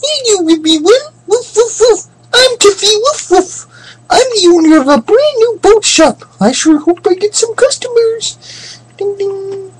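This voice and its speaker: A cheerful lady dog who owns a boat shop, squeaking high and barking between her sales lines. Her pitch bounces all over the place. A faint hiss sits under the recording. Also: lively intonation, unhurried, rough recording. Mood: chirpy.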